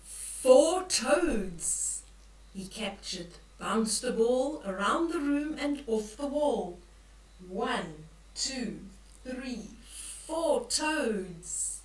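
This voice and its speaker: An older woman reading a bedtime story aloud to young children, expressively, with drawn-out emphasis and questions for the listeners. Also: fairly high pitch, big pitch swings. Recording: echoey room, distant from the mic.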